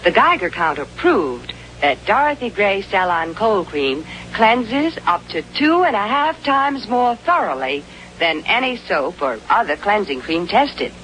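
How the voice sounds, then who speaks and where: A woman's voice: mid-range voice, fairly bright tone, rough recording. A woman announcing a scripted skin cream commercial in a vintage advertising style. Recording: old commercial with faint hum, noise.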